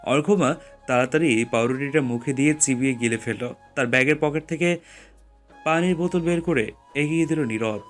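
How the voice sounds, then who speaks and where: A man's voice: mid-range voice, moderate pace, soft background music. A man reading scripted story narration as the narrator.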